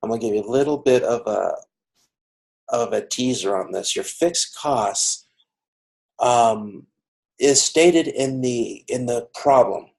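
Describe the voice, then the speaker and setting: A man with a creaky voice teaching an online class informally, explaining step by step in a halting way on a video call. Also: mid-range voice, a little mellow, poor audio. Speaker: instructor of an online college class. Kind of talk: informal lecture working through a cost example. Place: video conference.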